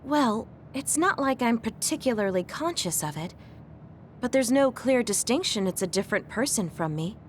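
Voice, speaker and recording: Woman voicing gentle polite game heroine. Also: a little high, expressive, normal speed, a little hiss or hum, natural voice. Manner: polite and soft-spoken. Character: gentle, caring young woman who loves cleaning. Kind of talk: video game dub. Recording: music under the dialogue.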